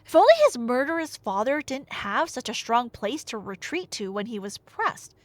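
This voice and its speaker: A young woman retelling a tale as a chatty, theatrical storyteller, with emphatic delivery, dry humor and dramatic pauses. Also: a little high, sing-song, no echo. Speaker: audio storyteller playing a nerdy friend. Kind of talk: casual dramatic retelling of myth, as ASMR roleplay.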